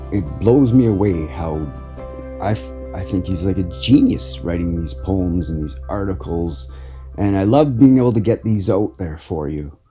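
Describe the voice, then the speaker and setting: A man hosting a podcast of inspirational readings, speaking earnestly with deliberate phrasing. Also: low voice. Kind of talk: inspirational spoken article reading.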